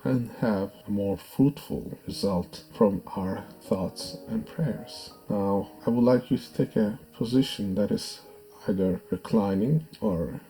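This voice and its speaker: A man narrating a guided meditation in a measured, soothing, instructive way. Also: fairly low pitch, mellow, poor audio. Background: background music.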